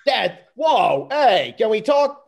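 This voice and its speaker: A man playing an exasperated, wisecracking plumber in an amateur script read-through, griping sarcastically in a husky, gravelly voice. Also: high voice, big pitch swings, takes it slowly, heavily acted. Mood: alarmed. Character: exasperated, wisecracking plumber, complaining older brother.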